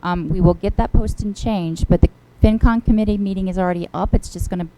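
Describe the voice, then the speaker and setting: A woman's voice: medium pitch, fast, warm tone, rough recording. A woman chairing a finance committee, giving an update at a board meeting in a hesitant way with frequent fillers.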